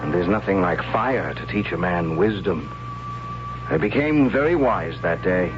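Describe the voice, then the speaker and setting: Earnest young tribesman, low-voiced, old radio. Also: expressive, measured pace, music in the background. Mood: reflective. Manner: earnest, hesitant, pleading. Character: gentle, questioning young tribesman who refuses to kill. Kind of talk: 1970s radio drama acting, narrating and in character. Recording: dull lo-fi 1970s radio recording.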